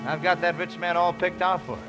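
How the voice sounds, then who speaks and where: A man's voice: high voice, steady tone, fairly quick, natural voice. An actor playing a brash, cocky young man courting his sweetheart in a 1950s radio musical, with music behind him. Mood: confident, romantic.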